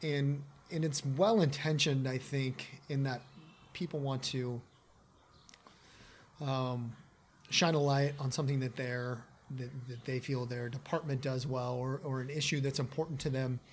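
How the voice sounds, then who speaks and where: A man hosting an interview, asking questions in a halting way with stumbles. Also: mid-range voice, steady tone, a little hiss or hum. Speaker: podcast host.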